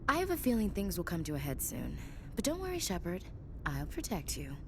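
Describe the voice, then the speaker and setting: An actress playing a proud, defiant young human soldier in a video game, with a blunt, sardonic manner. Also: female voice, huge pitch swings, fairly quick. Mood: reflective. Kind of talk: video game dialogue acting. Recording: rumbling background noise.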